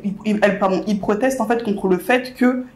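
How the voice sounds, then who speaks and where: A woman's voice: medium pitch, a little fast, soft background music. A woman interviewer speaking with passion in a casual conversation, often filling with "en fait". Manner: impassioned, many "en fait" fillers.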